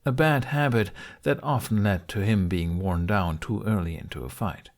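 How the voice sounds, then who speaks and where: Low-pitched man narrating a story. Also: normal speed. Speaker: audiobook narrator. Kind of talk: scripted narration of fan fiction.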